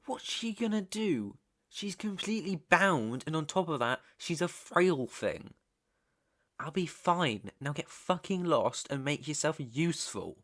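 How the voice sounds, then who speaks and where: A British man playing a menacing, teasing mob boss's son in an intimate ASMR roleplay, with a deliberate, taunting delivery. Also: mid-range voice. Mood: irritated, dismissive. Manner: slow, deliberate, taunting, swearing. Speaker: roleplay audio performer.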